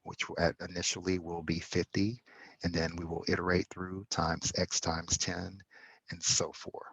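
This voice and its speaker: Man, monotone, gravelly, dry close sound, walking through code haltingly. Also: sits low, dry recording, low-quality recording. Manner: halting, self-correcting explanation with fillers. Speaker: instructor. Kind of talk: screen-recorded coding tutorial.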